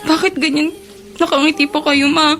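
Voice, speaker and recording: A woman's voice: sits high, level tone, moderate pace, fairly bright tone. An actress playing a heartbroken, anxious young female student, pleading in a Filipino radio melodrama. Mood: plaintive. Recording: music bed under the voice.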